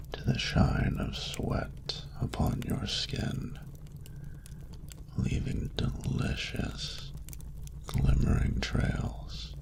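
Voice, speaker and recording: A man voicing a suave, doting dragon lover in an intimate romantic audio roleplay, speaking formally and seductively in a breathy, gravelly half-whisper. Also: very high voice, full character voice. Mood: sultry, seductive. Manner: slow, breathy, formal archaic phrasing, seductive.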